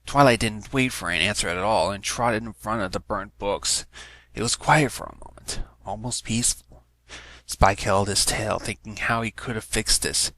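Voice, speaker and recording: A man's voice: lowish voice, moderate pace, sharp tone, dry recording, rough recording. A man giving a scripted narration of a story as an amateur reader. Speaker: amateur fan fiction reader.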